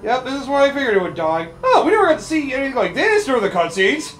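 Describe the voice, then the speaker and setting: A man's voice: very high voice, huge pitch swings, fast, a bit bright. A man hosting a let's play, commenting casually on game cutscenes with sarcasm and profanity. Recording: echoey room, game music behind.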